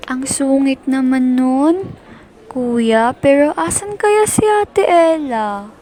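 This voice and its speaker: Woman playing a high-voiced worried little sister. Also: lively intonation, slow pace, dark tone. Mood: curious, complaining. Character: worried young girl, the youngest sibling. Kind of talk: amateur radio drama acting. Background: background music.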